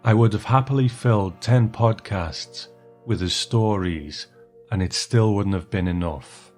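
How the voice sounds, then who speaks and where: A man hosting a podcast with a scripted introduction, speaking in an earnest, measured way. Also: fairly low pitch, darkish tone. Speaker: podcast host.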